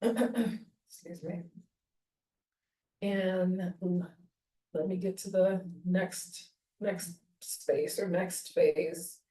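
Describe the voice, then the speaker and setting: A woman in her thirties chatting casually while doing her hair, meandering and self-deprecating, thinking out loud as she goes. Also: on the low side, fairly even intonation, drawn-out words, long pauses. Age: 30s. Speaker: small-business vlogger. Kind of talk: rambling casual chat. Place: home bathroom. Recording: echoey bathroom, voice far from mic.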